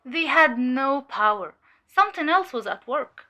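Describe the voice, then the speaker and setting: A woman playing a weary, sharp undercover cult infiltrator giving hesitant, measured testimony in an audio drama. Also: sits high, expressive, full character voice. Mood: uneasy, explanatory.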